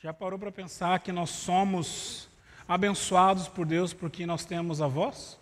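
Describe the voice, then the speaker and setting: A man's voice: sits high, fairly even intonation, unhurried. A man preaching a sermon in a conversational way, with rhetorical asides to the congregation, in a voice that tires easily. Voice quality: vocal cord problem, voice tires easily. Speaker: pastor. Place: church sanctuary. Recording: live church stream with long reverb.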